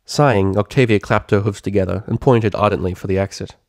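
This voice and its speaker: A man reading a story aloud as narrator, in an expressive, theatrical storytelling manner. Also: on the low side, a little fast, no room sound, studio quality. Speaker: fan fiction reader, narrator.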